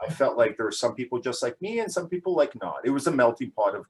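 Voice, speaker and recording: A man in his forties from Canada, speaking at an ordinary middle pitch as an interview guest. He talks casually and haltingly, filling gaps with ums and restarting his sentences, and laughs often as he goes. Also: big pitch swings, a little mellow. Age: 40s. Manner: hesitant, many fillers and restarts.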